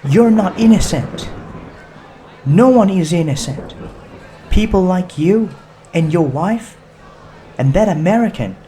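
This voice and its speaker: Man voicing a high-pitched, defiant young woman hijacker. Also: lively intonation, slow, long pauses, mellow, a little hiss or hum. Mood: bitter, impassioned. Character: angry twenty-year-old woman hijacker, bitter and defiant.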